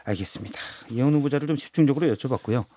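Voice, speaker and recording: A man's voice: medium pitch, racing, dark tone, no echo. A middle-aged male radio host with a mid-range voice, recorded dry on a studio microphone. He asks direct, probing questions and nods along with short acknowledgements. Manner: probing, with frequent backchannel sounds. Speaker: radio news show host.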